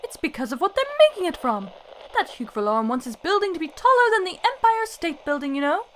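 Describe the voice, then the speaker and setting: A woman's voice: very high-pitched, sing-song, fast talker, fairly bright tone, a little hiss or hum, exaggerated voice. A woman playing a chatty, gossip-loving caller to a radio show, breezy and earnest, in a radio drama. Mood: eager, gossipy.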